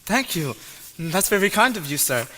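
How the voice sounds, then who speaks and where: A man's voice: high voice, sing-song, moderate pace, pushed and bright, heavily acted. An actor playing a polite, earnest young immigrant man who is lost and on his way to the city. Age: young adult. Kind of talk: student stage play. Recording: reverberant hall, faint noise.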